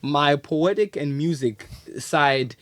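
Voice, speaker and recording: A man's voice: a little high, slow pace, fairly bright tone, no room sound. A man speaking as a guest on a podcast, describing himself in a reflective way, often repeating words as he searches for them. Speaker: podcast guest, poet and creative. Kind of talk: casual podcast conversation.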